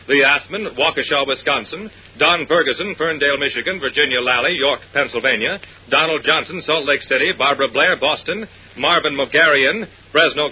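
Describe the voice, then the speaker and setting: Male 1940s radio announcer, slightly high voice, declamatory reading, dull old recording. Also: slight background noise.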